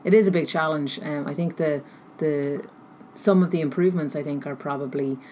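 Low-pitched woman, halting and thoughtful. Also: fairly even intonation, dark tone. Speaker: interview guest, technology researcher. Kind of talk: thoughtful interview answers. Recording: noticeable background noise.

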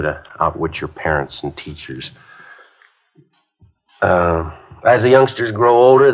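A man's voice: low-pitched, natural voice. A man playing an earnest police sergeant. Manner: earnest, plain-spoken lecturing. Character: earnest male police sergeant, middle-aged, rehearsing. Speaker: police detective giving a speech. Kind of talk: 1950s radio drama acting. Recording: muffled 1950s radio broadcast.

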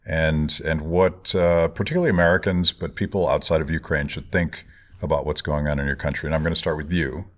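A man hosting a casual interview, relaxed and joking with his guests. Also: rumbling low, fairly quick. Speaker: interview host.

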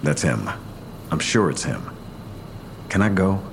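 A very deep-voiced, gravelly ex-con stepfather in a crime audio drama. He is curt and menacing, brushing people off in coarse street talk. Some rumbling noise sits under the voice. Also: sing-song, measured pace, exaggerated voice. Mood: sullen impatience. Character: hostile ex-convict stepfather, muscular, evasive and menacing.

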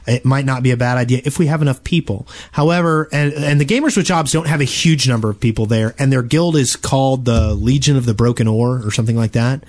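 A man's voice: medium pitch, fast talker, few pauses, a little mellow. Easygoing man in casual podcast chat. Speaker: podcast co-host.

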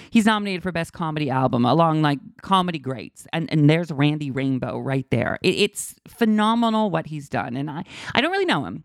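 A man hosting a podcast, talking in a casual, gushing way and sounding hoarse from a cold. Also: on the high side, dry recording, clean studio sound. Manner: gushing, animated, many restarts. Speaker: podcast host. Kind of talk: casual chat.

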